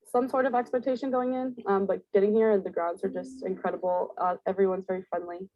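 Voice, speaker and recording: A woman's voice: medium pitch, little pitch movement, a little fast, warm tone, dry recording, highs cut off. A woman in her twenties from Ohio, a camp counselor giving a casual, sincere testimonial. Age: 20s. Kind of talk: testimonial interview.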